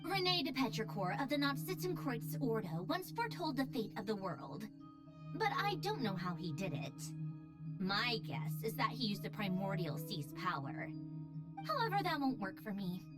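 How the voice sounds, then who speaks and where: A woman's voice: fairly high pitch, sing-song, moderate pace, loud music behind the voice. An actress playing a brainy, proud, blunt young woman researcher in a video game, with an emphatic, haughty delivery. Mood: proud, frustrated.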